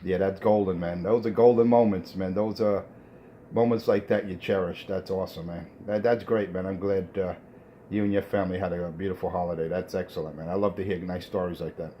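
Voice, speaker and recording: A man's voice: low voice, steady tone, brisk, lo-fi sound, slight background noise. A man chatting casually over the radio, affirming and ending lots of phrases with "man". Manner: says "man" often, affirming. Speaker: radio contact.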